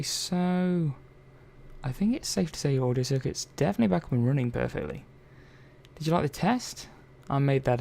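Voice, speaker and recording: A man's voice: mid-range voice, creaky, darkish tone, close to an everyday voice. A man playing a chatty, caring robot technician in an ASMR roleplay, talking hesitantly and reassuringly to one listener. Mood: chatty, musing. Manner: hesitant, rambling, reassuring, murmured asides. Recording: faint background noise and ambience.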